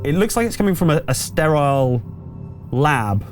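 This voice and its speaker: Guy game mastering a sci-fi horror rpg, chatty stop-start narration, soft music under it. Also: on the high side, animated. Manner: halting, conversational, pieced-together descriptions.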